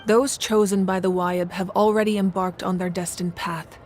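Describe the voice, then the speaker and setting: An actress playing a proud, resolute female ruler in a video game, speaking in a measured, solemn and commanding way. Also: mid-range voice, steady tone, a little mellow, slight background noise, close to an everyday voice. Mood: solemn resolve. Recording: music behind the voice.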